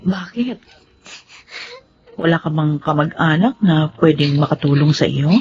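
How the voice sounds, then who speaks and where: A woman's voice: low-pitched, a little slow. An actress playing a kindly, motherly but firm middle-aged woman in a melodramatic Filipino radio drama. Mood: sympathetic, tender. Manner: expressive, maternal, melodramatic. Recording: dull lo-fi sound with faint noise.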